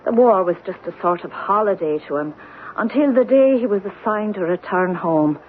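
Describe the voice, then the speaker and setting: A woman's voice: mid-range voice, animated, moderate pace, a little hiss or hum, natural voice. Actress as brooding Irishwoman on tinny old radio. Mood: somber narration. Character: older Irishwoman, blunt, brooding, recounting her sister's past. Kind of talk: old-time radio drama acting.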